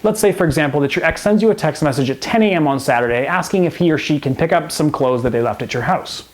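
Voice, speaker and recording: A man's voice: on the high side, fast talker. A man speaking as a relationship coach and host, delivering scripted advice straight to the viewer in an instructive way. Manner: instructive, direct address to the viewer. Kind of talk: scripted advice video to camera.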